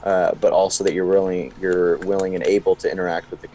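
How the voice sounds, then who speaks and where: A man's voice: deep voice, level tone, fast, warm tone, rough recording. A young man chatting casually as a guest player on a game stream. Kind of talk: casual chat.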